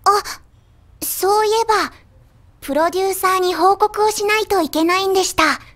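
A woman playing a quirky teenage girl idol with a deadpan, polite delivery in an anime audio drama. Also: very high voice, moderate pace. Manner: deadpan, flat delivery of jokes. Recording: music under the voice.

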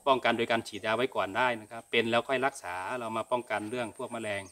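A man's voice: mid-range voice, steady tone, racing, a bit bright, a little hiss or hum. A middle-aged man from Thailand explaining things informally as a host answering viewer questions, with frequent polite particles. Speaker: cattle farming channel host. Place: open-sided cattle barn.

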